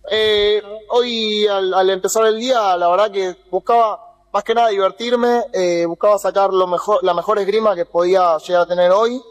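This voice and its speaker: A man's voice: high voice, steady tone, normal speed, long reverb tail. A young man talking after a competition, hesitant and modest, with many fillers. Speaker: athlete interviewed. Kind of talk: post-competition interview.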